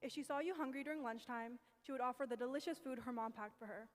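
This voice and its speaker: Young woman, steady affectionate speech, higher voice, long reverb. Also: quick pace. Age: young adult. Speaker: friend giving a speech. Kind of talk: prepared tribute speech. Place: event hall.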